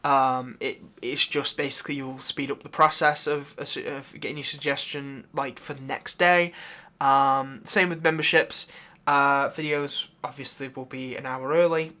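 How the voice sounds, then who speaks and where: A man's voice: medium pitch, little pitch movement, a little slow, pushed and bright. A man hosting his own channel, giving a casual, unscripted update in a rambling, hesitant way. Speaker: channel host, audio roleplay creator.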